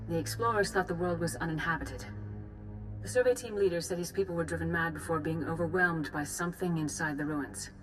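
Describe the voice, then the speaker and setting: A woman's voice: low-pitched, natural voice, poor audio. A woman playing a grave Jedi grand master in a video game, formal, composed and authoritative.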